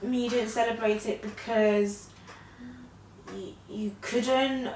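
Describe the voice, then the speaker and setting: A woman's voice: a little high, steady tone, slow, a crowd in the background. Upbeat young woman talk show host, roomy sound, mic set back. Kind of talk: casual interview. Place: living room, sitting on a sofa. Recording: echoey room, far from mic, faint hiss.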